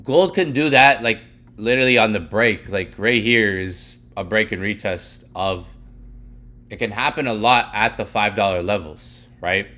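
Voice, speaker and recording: A young man teaching live, walking through a price chart in casual, rambling talk full of "like" and "literally", his voice going hoarse and his throat needing clearing. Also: on the low side, moderate pace, sharp tone. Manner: rambling, heavy filler "like" and "literally". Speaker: trading educator.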